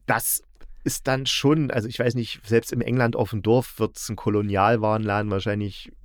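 A man's voice: fairly low pitch, level tone, normal speed, dry recording, clean studio sound. A man in a casual podcast review chat, often breaking off and restarting his sentences as he explains. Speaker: podcast host reviewing audio dramas.

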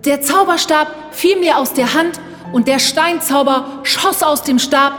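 A woman's voice: high-pitched, a little slow, a bit bright. A woman playing a remorseful sorceress and loving mother, speaking earnestly in a children's fairy-tale audio drama. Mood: earnest, regretful. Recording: long reverb, music underneath.

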